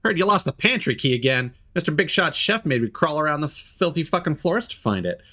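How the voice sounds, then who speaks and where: Man playing angry kitchen dishwasher, raised pitch, swooping intonation, exasperated, swearing, video game character. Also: lively intonation, brisk, pushed and bright. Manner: exasperated, profane. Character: irate foul-mouthed kitchen dishwasher, writing an angry note.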